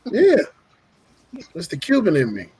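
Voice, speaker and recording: A man chats in a husky, slightly raised voice. He is laid-back and jokey, laughing easily. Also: sits high, huge pitch swings, a little slow, a little mellow. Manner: laid-back, laughs often, movie fan banter. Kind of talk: casual group chat.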